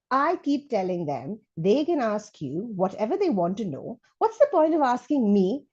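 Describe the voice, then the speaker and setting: A resentful but curious schoolchild played by a woman reader candidly complains to a new classmate in storybook letters. The delivery is expressive and the recording is slightly muffled. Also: mid-range voice. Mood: exasperated, curious. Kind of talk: read-aloud children's storybook.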